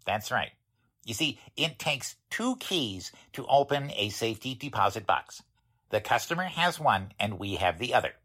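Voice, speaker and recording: Husky male voice, acted folksy bank vault attendant, lively swings in pitch, deferential, 1940s radio play. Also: moderate pace, exaggerated voice. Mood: earnest, deferential. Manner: folksy, plain-spoken. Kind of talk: 1940s radio drama acting.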